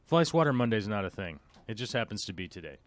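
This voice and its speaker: A man hosting a live stream from his home office, hoarse and losing his voice, chatting casually and chuckling often as he rambles. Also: fairly low pitch, sing-song, fast talker, no room sound.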